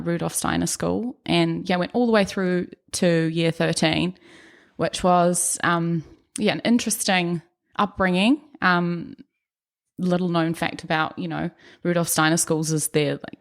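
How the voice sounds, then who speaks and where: A woman's voice: lowish voice, vocal fry. A young woman, a guest artist on a podcast, talking casually about her life and scattering her speech with "um" and "yeah". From New Zealand, born in England. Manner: relaxed and chatty, full of fillers. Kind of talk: casual interview chat.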